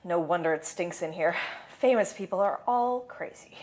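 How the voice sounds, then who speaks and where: A woman's voice: fairly low pitch, normal speed, darkish tone, lightly acted. A woman playing a bossy, irritable film director in an audio drama. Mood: irritated.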